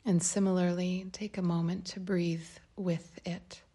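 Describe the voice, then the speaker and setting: Slow, breathy, creaky woman guiding meditation. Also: lowish voice. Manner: calm, unhurried, gentle phrasing with long pauses. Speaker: meditation guide. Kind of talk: guided meditation, slow and soft instruction.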